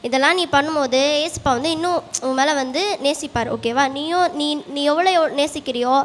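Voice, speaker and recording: A woman playing a schoolteacher in an amateur church skit, with a very high, sharp voice. She questions and chides her pupils like an exasperated but caring teacher. The sound rings in a big hall with some noise. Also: brisk. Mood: gentle, earnest. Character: scolding, teasing schoolteacher, later gently consoling. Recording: echoey hall, distant, noisy room sound.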